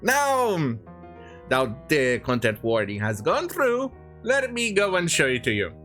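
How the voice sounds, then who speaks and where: Sarcastic commentator with swooping, animated pitch. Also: a little slow, faint music behind. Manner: sarcastic, hesitant, rambling delivery. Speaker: commentary host. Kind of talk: mocking rant commentary, improvised.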